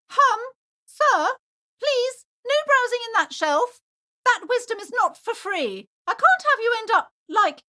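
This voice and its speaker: A woman's voice: extremely high pitch, pushed and bright, exaggerated voice. A woman playing a prim, anxious, superstitious bookshop keeper in a video game, with a fussy, formal, theatrical manner. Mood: polite, insistent. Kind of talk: acted video game dialogue.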